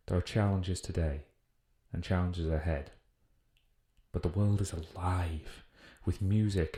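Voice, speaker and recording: A British man playing an earnest naturalist who muses formally and deliberately in a scripted audio drama field report. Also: deep voice, mellow. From United Kingdom. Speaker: voice actor. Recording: roomy, echoing voice recording.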